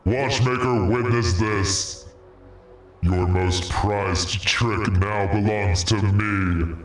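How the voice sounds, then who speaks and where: A man's voice: lowish voice, steady tone, drawn-out words, pitched below the performer's own voice. A man voicing a gloating male demon villain from a video game, with a pompous, theatrical delivery. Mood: triumphant. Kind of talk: dramatic reading of video game dialogue. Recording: echoey, distant, music behind.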